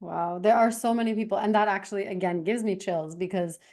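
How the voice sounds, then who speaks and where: A woman's voice: medium pitch, fairly quick, a little mellow. A woman from Canada hosting an interview, speaking in an earnest, reflective way with frequent restarts. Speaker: host. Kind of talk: conversational interview.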